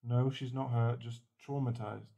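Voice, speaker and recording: A man's voice: mid-range voice, steady tone, moderate pace, gravelly, dark tone, no echo, muffled recording. A man playing a protective, tender werewolf boyfriend in an ASMR roleplay, speaking in a soothing, reassuring manner. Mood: shaken, subdued.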